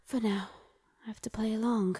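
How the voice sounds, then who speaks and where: A woman's voice: a little high, steady tone, moderate pace, mellow, husky, dry recording, clean studio sound. A woman playing a proper, anxious young princess in a romantic ASMR roleplay, speaking in a genteel, hesitant way. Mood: worried, secretive.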